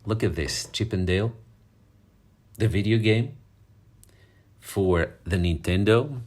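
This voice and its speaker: A man's voice: on the low side, slow, a little mellow. A man from Morocco, talking casually and fondly as he leafs through an old magazine, rambling with fillers and restarts. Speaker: vlogger. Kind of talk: casual show-and-tell vlog.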